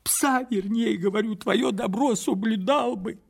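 A man voicing a humble, poor old male peasant in his sixties who drops to whispers and rises to high, excited outbursts in folksy rustic speech. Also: measured pace, gravelly, husky, exaggerated voice. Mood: pleading. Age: 60s.

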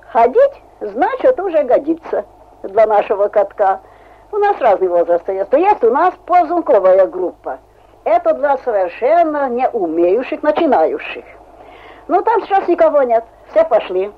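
A woman in her seventies from Hungary, with a Hungarian accent, telling lively stories in a halting, laughing way in a radio interview. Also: high-pitched. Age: 70s. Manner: lively storytelling, halting speech, laughs often. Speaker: interviewee, amateur skater. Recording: tinny phone-like old radio recording.

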